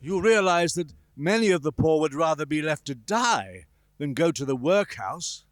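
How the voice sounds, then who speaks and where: An actor playing an earnest, courteous gentleman collecting for charity in a radio play, polite and persuasive. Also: on the high side, lively intonation, normal speed, no echo, clean studio sound, close to an everyday voice. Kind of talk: stage radio-play acting.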